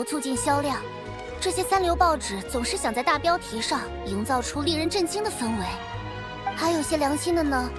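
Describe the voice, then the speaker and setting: An eager young reporter, high-voiced. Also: female voice. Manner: chatty, courteous, bubbly. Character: young, eager, polite female newspaper reporter. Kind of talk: video game voice acting.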